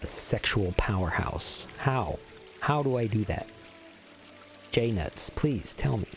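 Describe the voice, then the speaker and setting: Man in his forties, lowish voice, lively swinging pitch, crude joking banter, faint game music behind. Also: slow. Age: 40s. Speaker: streamer. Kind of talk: casual gaming stream chat.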